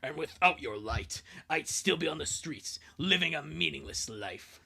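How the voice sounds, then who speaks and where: A man voicing a grave, wounded robot lover in a solemn, gravelly voice for a comic fan-fiction dub. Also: on the high side, expressive, normal speed, bright, forceful tone, heavily acted. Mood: grave, imploring.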